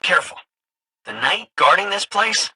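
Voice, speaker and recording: A man playing an excitable, cheeky game sidekick and guide who talks casually and stammers when flustered. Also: sits high, moderate pace, a little hiss or hum, heavily acted. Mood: casual, alert. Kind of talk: video game character dialogue.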